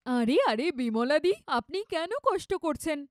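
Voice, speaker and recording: A woman's voice: high-pitched, expressive, moderate pace, dry recording, clean studio sound. A woman playing a boastful, condescending, middle-aged rich mother-in-law in a cartoon story, with a showy, theatrical way of talking.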